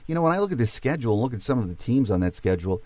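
A man's voice: mid-range voice, big pitch swings, racing, a little mellow, no room sound, studio quality. An American man hosting a sports podcast, talking through football in a conversational interview style. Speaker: podcast host.

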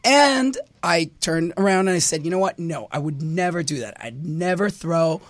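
Guest, male, raised pitch, voice recovering after surgery, lively storyteller. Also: moderate pace. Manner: animated storyteller, quotes others in voices. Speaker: reality show guest. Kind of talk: casual interview chat.

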